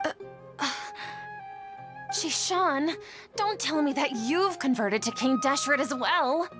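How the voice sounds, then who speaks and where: A woman's voice: high voice, animated, slow, over music. A woman playing an earnest, conflicted young female scholar in an anime video game, polite and sincere, hesitant under pressure. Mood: shaken.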